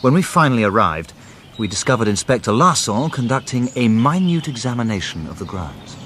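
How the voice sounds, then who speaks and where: A gentleman companion tells and acts out a radio mystery. His voice sits mid-range and moves with lively expression. He is loyal and a little long-suffering, over clear background noise. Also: mid-range voice. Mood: measured storytelling. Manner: measured storytelling, hesitant and long-suffering in dialogue. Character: loyal gentleman companion and narrator, mildly put-upon. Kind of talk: radio drama narration and dialogue.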